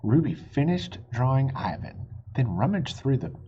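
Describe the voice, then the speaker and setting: A storybook reader giving an expressive read-aloud for children, explaining things simply. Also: medium pitch, normal speed, muffled recording, a little hiss or hum.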